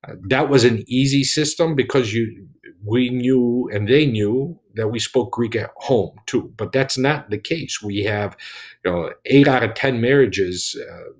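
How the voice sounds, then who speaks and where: A man's voice: medium pitch, a little slow. A man, speaking earnestly on an online panel from his home study over a video call, with many restarts. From United States.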